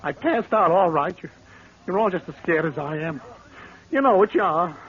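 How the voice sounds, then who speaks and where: An actor playing a shaken, belligerent coward, jittery and taunting, in a vintage radio horror drama. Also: male voice, sits high, expressive, normal speed. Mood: defensive, agitated. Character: shaken, belligerent coward accusing others of fear. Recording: dull lo-fi old radio recording.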